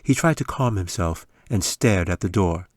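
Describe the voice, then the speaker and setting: A man's voice: low voice, animated, moderate pace, no echo, clean studio sound. A man reading a fantasy audiobook as a scripted story narration. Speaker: author reading own audiobook.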